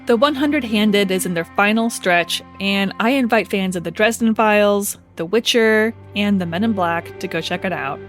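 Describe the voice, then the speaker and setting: A woman's voice: mid-range voice, normal speed, hardly any pauses, faint music behind. A woman hosting a podcast, giving a casual, upbeat promo with playful asides. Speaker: podcast creator and host.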